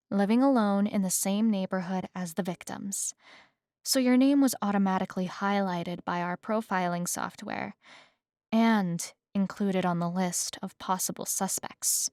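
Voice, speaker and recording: Slow-talking, flirty female police detective, acted by a woman. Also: medium pitch, creaky, a little mellow, dry recording, studio quality. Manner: slow, teasing, coy delivery with pauses. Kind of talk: ASMR roleplay, spoken directly to the listener.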